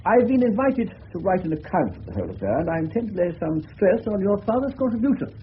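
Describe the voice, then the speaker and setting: A muffled, faintly hissy radio drama features a genial English police detective. His delivery is very animated, courteous and a touch hesitant as he explains things in an avuncular way. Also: sits high, fast talker. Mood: genial, apologetic. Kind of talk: 1970s British radio drama acting. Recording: muffled old radio recording with hiss.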